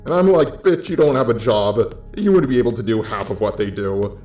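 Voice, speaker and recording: A man's voice: mid-range voice, lively intonation, a little fast, darkish tone, roomy sound, soft background music. An American man giving a blunt, casual, sweary opinion monologue as a video commentator.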